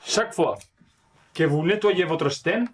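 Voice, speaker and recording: A male actor as a Spanish veteran drilling resistance fighters in a radio play. His high voice carries a Spanish accent and an emphatic teacher's manner, over clear noise, a little off the mic. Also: measured pace, distant mic. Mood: stern instruction. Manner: didactic, emphatic. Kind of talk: audio drama acting.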